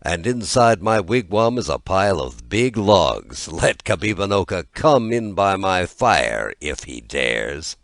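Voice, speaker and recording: A jaunty, fearless storybook fisherman, acted out by a male narrator, boastful and defiant. His low voice swings widely in pitch and sounds dry and close. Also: sing-song, measured pace, hardly any pauses, no echo, full character voice. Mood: cheerful defiance. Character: laughing, fearless male fisherman with magic powers. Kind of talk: storybook character reading.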